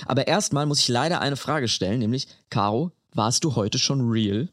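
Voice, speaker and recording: A man's voice: medium pitch, expressive, normal speed, a bit bright, no echo, studio quality. A man co-hosting a podcast in casual, colloquial German peppered with English slang. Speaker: podcast co-host. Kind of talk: casual chat between co-hosts.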